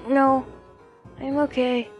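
A timid little cartoon monster child, trying to be brave. The voice is high and halting, stammering earnestly through the words. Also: female voice, level tone, darkish tone, faint music behind. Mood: worried. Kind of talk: comic dub cartoon acting.

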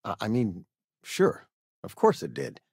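An older man playing a warm, fussing retired-teacher father in a video game, with a hesitant, folksy, expressive manner. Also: lowish voice, measured pace, gravelly, breathy edge, no echo, clean studio sound, exaggerated voice. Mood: gentle, hesitant. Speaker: voice actor. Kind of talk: video game character dialogue.

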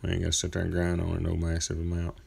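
Gravelly deep-voiced laid-back man. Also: completely flat delivery, very fast, darkish tone. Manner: laid-back, folksy, rambling with fillers. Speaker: chainsaw carver. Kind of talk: casual workshop narration. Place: outdoor driveway workspace.

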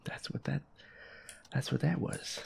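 A man's voice: measured pace, a little mellow, whispered, close to an everyday voice. A man playing a feisty, foul-mouthed werewolf boyfriend in an audio roleplay, grumbling and muttering to himself as he reluctantly plays a horror game. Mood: spooked. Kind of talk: casual gameplay commentary, audio roleplay.

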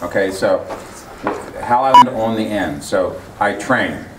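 A man directing a video shoot, coaxing his subjects with calm, patient directions. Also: on the low side, slow pace, roomy sound, very noisy.

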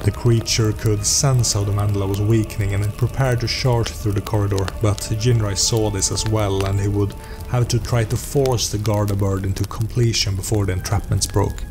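A man's voice: on the low side, level tone, moderate pace, over music. A man narrating a fantasy story in a scripted audiobook style, as its host. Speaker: narrator and host of a role-playing story series.

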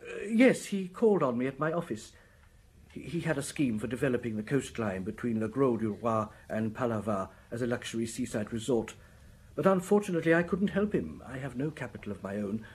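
A small, chubby, middle-aged businessman in a radio drama, timid and very breathy. He stammers and hesitates, his pitch bobbing up and down nervously. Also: animated, brisk, darkish tone.

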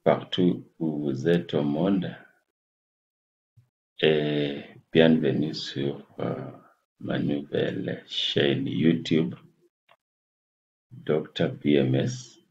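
A man in his forties with a Kenyan English accent, a native English speaker, speaking slowly and haltingly to a webcam in a small room as he searches for words. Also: a bass voice, flat delivery, gravelly, darkish tone. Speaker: health channel host. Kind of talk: casual address to camera. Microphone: laptop webcam at desk distance. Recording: muffled lo-fi webcam audio.

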